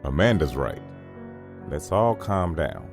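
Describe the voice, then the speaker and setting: A man's voice: a bass voice, big pitch swings, takes it slowly, faint music behind, full character voice. A man playing a level-headed young friend, soothing and firm, in an audio horror drama. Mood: calm, firm.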